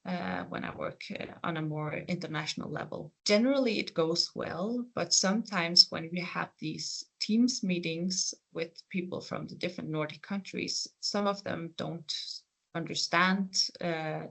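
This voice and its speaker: Faroese-accented speaker, gravelly edge. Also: medium pitch. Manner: earnest, reflective, gently encouraging. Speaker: programme coordinator and PhD researcher. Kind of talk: career profile interview answers.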